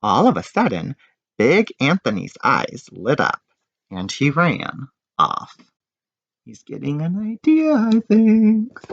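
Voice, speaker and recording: A man's voice: medium pitch, creaky. A man reading a picture book aloud expressively, adding asides and questions for his listeners. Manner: animated, prompts listeners with questions. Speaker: reader of a children's picture book, teacher. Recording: roomy, slightly dull sound.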